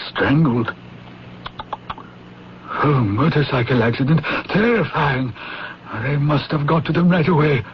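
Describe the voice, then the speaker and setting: A fretful official with a raised pitch and very slow, halting delivery. He sounds deferential, in old radio drama on a dull recording. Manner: halting, dismayed exclamations, deferential. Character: fretful, deferential male spy-agency official. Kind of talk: 1970s radio drama acting. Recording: dull 1970s radio broadcast, faint noise.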